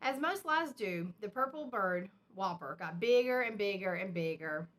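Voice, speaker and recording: A woman reading a children's storybook aloud like a teacher, expressive and emphatic, with simple explanatory asides. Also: mid-range voice, moderate pace.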